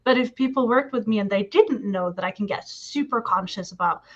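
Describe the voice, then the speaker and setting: A woman's voice: medium pitch, a little fast. A woman who learned English as a second language, chatting thoughtfully as a guest in long, hedged sentences full of fillers. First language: not English. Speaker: guest discussing marketing and projects. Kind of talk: casual reflective chat. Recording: slightly muffled lo-fi audio.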